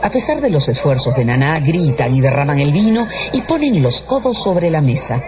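Male narrator, scripted radio drama, expressive intonation, dull sound, background music. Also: fairly high pitch, moderate pace.

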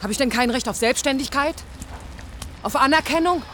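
A woman's voice: sits high, normal speed, a bit bright, slight background noise. An actress playing a defiant, idealistic young woman architect in a radio drama, challenging and sarcastic in impassioned dialogue. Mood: indignant. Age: young adult.

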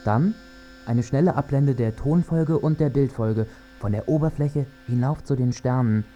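A man's voice: medium pitch, normal speed, warm tone, dull audio, soft background music, close to an everyday voice. An actor playing a male narrator who methodically recites descriptions in a scripted radio play.

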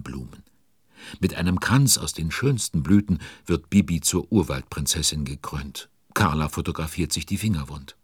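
Very deep-voiced droll storyteller. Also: male voice, breathy edge, no room sound, clean studio sound, full character voice. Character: droll, timid, sleepy storyteller who joins the tale. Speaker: narrator. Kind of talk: German children's audio drama narration.

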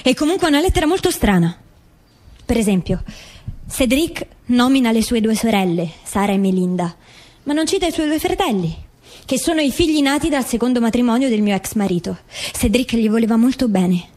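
A mother in a documentary drama, her voice fairly high. She is methodical and doubting, laying out each point in a measured, deliberate way. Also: lively intonation, a little mellow. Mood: firm, doubting. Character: grieving mother methodically doubting her son's farewell letter. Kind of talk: scripted theatre dialogue, documentary drama.

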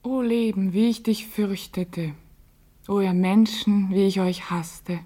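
An actress playing a fearful, bitter, solitary woman in a literary radio play monologue, with a breathy delivery. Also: mid-range voice, fairly even intonation, takes it slowly, mellow. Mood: anguished, bitter.

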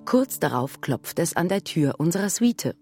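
A woman looking back on a childhood mystery, narrating a radio play. Her voice sits fairly low and moves in lively, expressive curves. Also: moderate pace, faint music behind. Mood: reflective.

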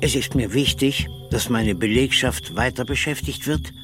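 An actor playing an easygoing expatriate brewery owner, serene and earnest, in a radio drama. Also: male voice, medium pitch, moderate pace, natural voice. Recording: music clearly behind the voice. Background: music.

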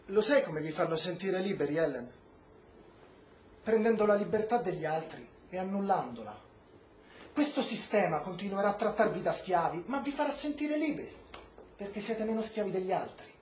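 Actor playing fervent Cuban idealist, rhetorical pleading, very animated intonation, radio play, roomy echo. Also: medium pitch, close to an everyday voice. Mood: bitter, earnest. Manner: rhetorical, pleading, declamatory with weighty pauses. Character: impassioned Cuban man urging journalist Ellen to fight injustice. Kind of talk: stage-style radio drama acting. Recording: echoey room, noisy background ambience.

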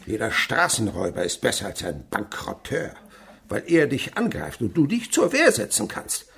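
A man's voice: mid-range voice, huge pitch swings, moderate pace, creaky, fairly bright tone, husky, heavily acted. An actor playing a miserly, callous old father in a German radio play, blunt, scornful and domineering. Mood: scornful, lecturing. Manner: blunt, scornful, lecturing, abrupt swings in delivery.

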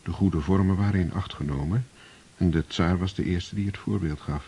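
A man narrating a radio play in a measured, solemn way. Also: low-pitched, dark tone, breathy edge.

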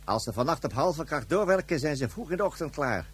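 An actor playing a sober, sceptical second-in-command in a science fiction radio play, formal and insistent. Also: male voice, fairly high pitch, animated, fairly quick, breathy edge. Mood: worried, insistent. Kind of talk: Dutch science fiction radio drama acting.

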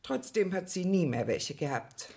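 A woman's voice: on the low side, sing-song, normal speed, creaky, darkish tone, husky, heavily acted. An actress playing a talkative, deferential working-class mother of many in a German radio play, chatty and rambling. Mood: gossipy, confiding. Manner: chatty, rambling, deferential, quoting herself and others.

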